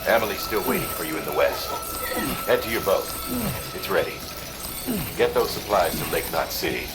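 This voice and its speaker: A male mission handler in a video game, low-pitched and commanding. He gives measured, encouraging orders over loud music. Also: lively intonation.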